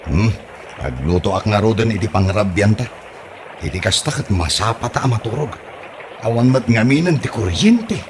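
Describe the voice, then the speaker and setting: Grumbling husband, lively swinging pitch played by a man. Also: moderate pace, noisy background. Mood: easygoing. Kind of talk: amateur comedy audio drama acting.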